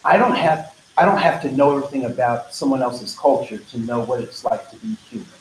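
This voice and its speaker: A man's voice: mid-range voice, lively intonation, fairly quick. A man leading a diversity training workshop, talking casually and wandering off on tangents, often checking in with "right?". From United States. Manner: casual, digressive, frequent "right?" and "um". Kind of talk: conversational workshop lecture. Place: training room. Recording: echoey training room, faint noise.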